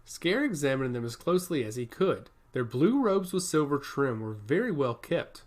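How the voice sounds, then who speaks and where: A man narrates a fantasy tale as a scripted audiobook reading. His pitch is mid-range and moves up and down in a very animated way. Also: normal speed.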